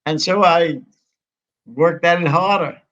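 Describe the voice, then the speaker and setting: A man in his nineties talks in a rambling, halting way, breaking off and restarting his sentences as he reminisces. His voice sits a little high for a man, and the audio is muffled and lo-fi. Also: fairly bright tone. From United States. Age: 90s. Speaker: interview guest. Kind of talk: conversational reminiscing.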